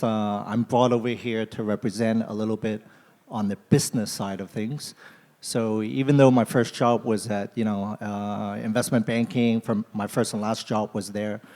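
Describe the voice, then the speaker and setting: A man from Hong Kong speaks on a conference panel in a relaxed, conversational way. His voice is mellow and somewhat low. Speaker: panelist, entrepreneur. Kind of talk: panel self-introduction. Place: conference hall.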